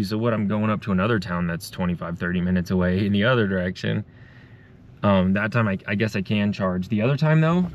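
Chatty low-voiced mechanic venting. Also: male voice, a little hiss or hum. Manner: chatty, frustrated venting, explains repairs step by step. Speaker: mobile mechanic. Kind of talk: casual vlog talking to camera.

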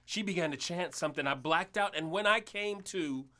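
Tormented, devout husband for audio drama, earnest and pleading, with a sharp forceful edge. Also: male voice, sits high, normal speed. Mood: defensive, pleading. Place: small recording studio. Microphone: studio mic on boom.

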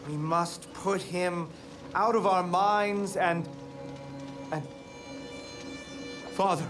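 High-voiced, bitter young cult leader. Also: male voice, fairly even intonation, very slow. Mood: stern. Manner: declamatory, indignant. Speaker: actor voicing a game character. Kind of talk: dramatic game cutscene acting. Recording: music under the voice. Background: game music.

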